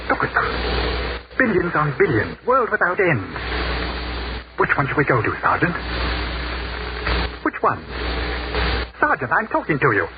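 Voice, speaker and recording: Intense, urgent army captain, fairly high voice, halting and insistent, old radio drama on a very noisy recording. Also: male voice, dull audio. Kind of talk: 1940s radio acting.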